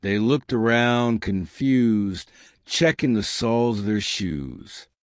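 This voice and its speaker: A man narrating a scripted comic story with dramatic, deadpan delivery and deliberate pauses. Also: on the low side, clear, resonant tone.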